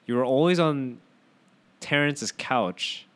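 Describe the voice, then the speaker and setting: A man hosting a casual podcast chat, teasing his guest with animated questions. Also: medium pitch, takes it slowly, clear, resonant tone. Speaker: podcast host.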